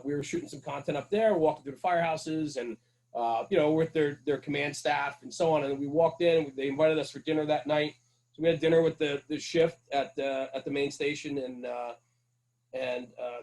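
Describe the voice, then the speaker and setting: A man's voice: a little high, darkish tone, poor audio. A man speaking as a podcast guest, telling stories conversationally in an earnest, emphatic way with rhetorical check-ins. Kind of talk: conversational storytelling about firehouse culture.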